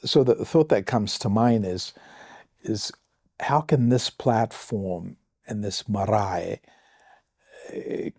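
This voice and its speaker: A Samoan man, a pastor, answering listener questions on a podcast in a slow, measured way with thoughtful pauses and a Samoan accent. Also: lowish voice, dark tone, no echo, clean studio sound. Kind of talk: reflective podcast talk.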